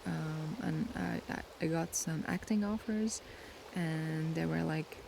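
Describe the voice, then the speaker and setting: Soft woman with vocal fry. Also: sits low. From Latvia. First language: Latvian. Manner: soft, unhurried and thoughtful, pausing to search for words. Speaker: ASMR creator. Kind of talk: casual ASMR Q&A chat. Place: outdoors by the sea at sunset. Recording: steady sea noise behind. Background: sea waves.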